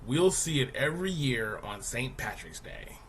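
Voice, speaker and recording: A man reading a children's news article aloud as a teacher, in a deliberate, explanatory manner. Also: mid-range voice, big pitch swings, slight background noise. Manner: deliberate, explanatory, poses questions to young listeners.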